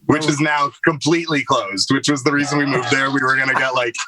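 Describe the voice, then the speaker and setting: A man's voice: mid-range voice, fairly quick, very noisy. A man, a guest musician, talking easygoing and wry on a video call from the cab of a moving truck. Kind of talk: casual video-call interview.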